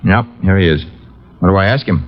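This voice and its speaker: An actor playing a cocky, street-smart detective's assistant, breezy and sarcastic, in old-time radio drama style. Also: male voice, low voice, a little fast, lightly acted. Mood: teasing. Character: wisecracking, cocky male private detective's legman, street-smart and flirtatious. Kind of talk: 1950s radio drama acting. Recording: dull vintage radio recording.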